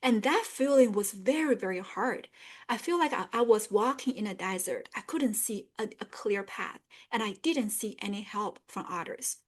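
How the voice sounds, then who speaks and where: A woman's voice: mid-range voice, lively intonation, moderate pace. A middle-aged woman from northern China with a Chinese accent, hosting an online career webinar in an earnest tone with many fillers. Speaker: webinar host and career coach. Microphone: video call.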